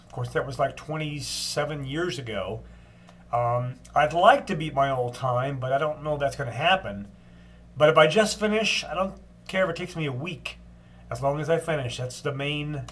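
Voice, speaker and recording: An older man with a stuffed-up cold voice giving a casual daily video update, unhurried, with frequent ums and restarts. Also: a little high. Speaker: long-distance walker giving a daily update.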